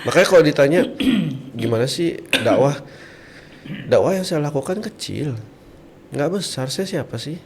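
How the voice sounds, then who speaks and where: A man's voice: on the high side, animated, moderate pace, pauses often, a little mellow. Man preaching conversationally over background noise. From Bali, Indonesia. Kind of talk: conversational religious podcast talk.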